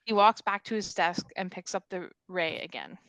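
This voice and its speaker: A woman's voice: lowish voice, animated, moderate pace, fairly bright tone. A woman reading scripted stage directions aloud at a table read.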